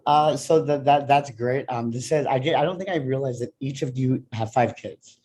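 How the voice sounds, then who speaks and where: A young man moderates an online panel, pausing often and restarting his sentences. His voice has a mellow, dark tone. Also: mid-range voice. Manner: halting, full of ums and restarts.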